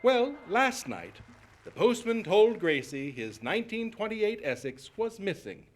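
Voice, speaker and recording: A man's voice: sits high, very animated, unhurried, noisy background. A man playing a polished, enthusiastic 1940s-style radio announcer, declamatory and emphatic. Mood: brisk storytelling. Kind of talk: 1940s radio advertising and narration.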